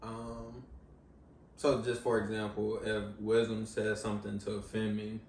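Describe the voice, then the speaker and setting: A man's voice: fairly low pitch, completely flat delivery, a little slow, a little mellow. A young man speaking thoughtfully in long reflective strings, seated on a couch in a living room, recorded by a far camera. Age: young adult. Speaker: guest in a discussion. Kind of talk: casual reflective chat. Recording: roomy sound from far off, faint hiss.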